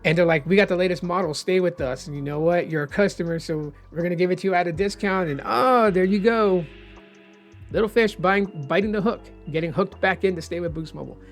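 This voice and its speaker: A man explaining phone unlock rules in a casual, rambling vlog, hoarse as he says he is losing his voice. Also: high-pitched, fairly quick, faint music behind. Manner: chatty, rambling, opinionated. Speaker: tech vlogger.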